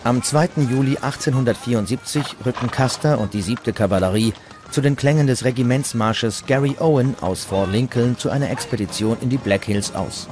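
A man narrates a documentary from a prepared script. His voice sits at a medium pitch, and music plays clearly behind him. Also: brisk.

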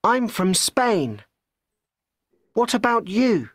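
A slow, careful teenage boy. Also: mid-range voice, big pitch swings, husky, dry recording, clean studio sound, heavily acted. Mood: plain. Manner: slow, careful spelling out letters. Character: 16-year-old boy from Spain, friendly student. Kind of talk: scripted textbook dialogue.

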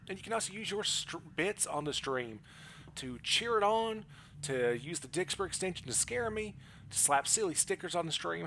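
A man's voice: on the high side, fairly bright tone, a little hiss or hum. A middle-aged man giving casual livestream commentary on a game, close on a headset mic. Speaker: game streamer. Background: game music.

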